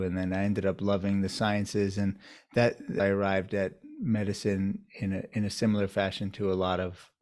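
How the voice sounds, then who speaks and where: Lower-voiced man, thoughtful and measured. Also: darkish tone. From United States, New York area. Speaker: urologist guest. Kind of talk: reflective interview answers.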